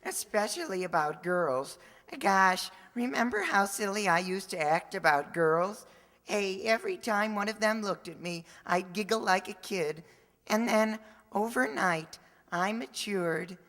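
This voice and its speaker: A woman playing an earnest, tactless teenage high school boy in old-time radio comedy style, gushing and naive. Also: animated, a little slow, fairly bright tone. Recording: reverberant hall recording.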